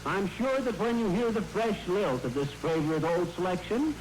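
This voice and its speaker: A pompous small-town bandleader in a vintage radio comedy. His announcing is flowery and animated, under very loud static and rain. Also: sits high, normal speed. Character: grandiloquent amateur bandleader, pompous but folksy man. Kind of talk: 1940s radio comedy acting. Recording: noisy vintage radio broadcast, rain over it.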